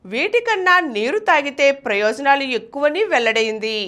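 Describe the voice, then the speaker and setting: A woman reads a scripted news bulletin in a very high voice. Her intonation is lively and moves widely up and down as she narrates each report as a news reader. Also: normal speed.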